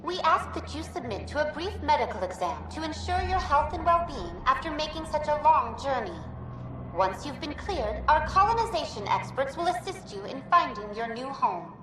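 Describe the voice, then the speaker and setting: A female virtual assistant in a sci-fi game, high and very lilting. She is upbeat and formal, like a scripted customer-service greeter, in an echoey space with clear music behind her. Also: big pitch swings, normal speed, distant mic. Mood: upbeat, welcoming. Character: cheerful, helpful female virtual intelligence greeter program. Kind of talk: scripted automated welcome announcement.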